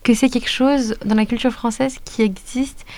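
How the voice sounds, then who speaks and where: Brief: woman explaining her view in a group chat, smooth higher voice, dry close sound. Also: fairly even intonation, normal speed, a little mellow, dry recording. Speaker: discussion participant. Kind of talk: casual group discussion.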